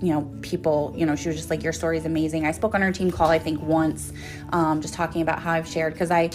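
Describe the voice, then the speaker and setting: A woman's voice: low voice, level tone, quick pace. A woman in her thirties telling personal stories casually and candidly, rambling and swearing freely as she speaks as a host. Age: 30s. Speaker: host, author and motivational speaker. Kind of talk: casual personal storytelling monologue. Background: music.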